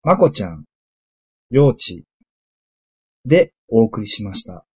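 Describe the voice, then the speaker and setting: A man's voice: fairly low pitch, very animated, slow pace, breathy edge. Man, narrator, scripted storybook delivery, comic radio drama.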